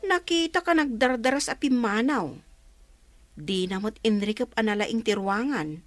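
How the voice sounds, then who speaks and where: A woman's voice: on the high side, animated, normal speed, dry recording, soft background music, lightly acted. A woman with an Ilocano accent playing an outspoken young village woman in a comedy radio drama. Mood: watchful.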